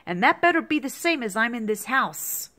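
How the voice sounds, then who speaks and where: A woman's voice: on the high side, sing-song, moderate pace, bright, forceful tone. A woman in her fifties in an agitated, repetitive rant to the camera, heavy with profanity. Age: 50s. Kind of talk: angry monologue to camera.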